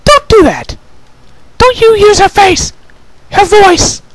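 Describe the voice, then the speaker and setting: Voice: extremely high pitch, expressive, slow pace, voice pushed up, exaggerated voice, rough recording. A performer voicing an angry, distrustful young female pony in a cartoon fan story, with an indignant, emphatic way of talking. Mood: angry, upset. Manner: indignant, emphatic, stammering when upset.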